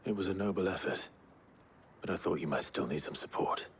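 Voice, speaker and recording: A man's voice: sits low, fairly quick, slight background noise, lightly acted. A man playing a grave, resolute swordsman hero in a video game drama, terse and understated. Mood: grave, calm. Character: brooding heroic male swordsman, grave and resolute.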